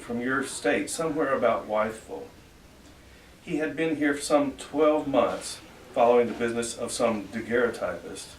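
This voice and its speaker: A man's voice: mid-range voice, echoey room. Male live reader, formal and theatrical letter reading, distant mic with faint background noise. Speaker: reader at a library event. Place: library reading room at a public event.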